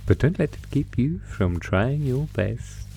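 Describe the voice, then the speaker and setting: A man's voice: medium pitch, sing-song, gravelly, mellow, faint music behind. A man playing an ancient, predatory monster who theatrically taunts human prey in a horror audio roleplay. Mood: menacing, excited. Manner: theatrical, savoring, taunting, drawn-out phrasing.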